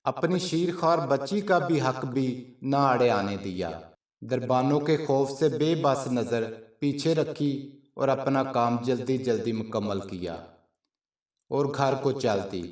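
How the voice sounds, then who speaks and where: A man narrating a scripted story in a measured, formal storytelling manner. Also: medium pitch, a little mellow. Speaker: channel narrator. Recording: roomy echo, distant from mic.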